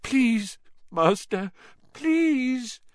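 Terrified henchman, very high strained pitch, whimpering, pleading, servile, storybook character. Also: animated, drawn-out words, no room sound, clean studio sound, exaggerated voice. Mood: moaning, begging. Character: cringing, terrified male follower begging his master.

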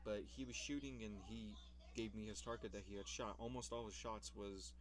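A man's voice: on the low side, steady tone, fairly quick. A young man in casual vlog commentary, rambling about his old videos, swearing casually and laughing at himself.

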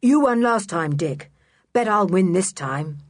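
A woman voicing a polite, quick-thinking boy in a children's storybook. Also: mid-range voice, husky. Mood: loud, playful. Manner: polite, lively.

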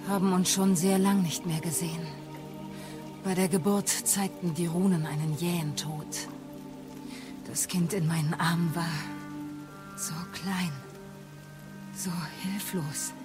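A wise exiled goddess, gentle yet sorrowful. Her speech is measured and solemn in audio drama style, with clear music and rain behind it. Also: lowish voice. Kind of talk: dramatic audio play acting.

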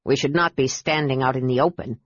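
A woman's voice: rumbling low, steady tone, brisk, dry recording, dull audio, voice pushed down. A woman voicing a serious, cautious man who speaks in clipped warnings.

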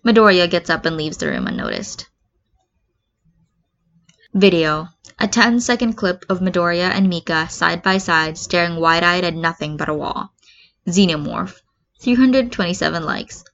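A woman's voice: on the low side, very animated, normal speed. A woman reading fan fiction aloud as an amateur narrator, with a slightly muffled, lo-fi recording. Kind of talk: expressive amateur story narration.

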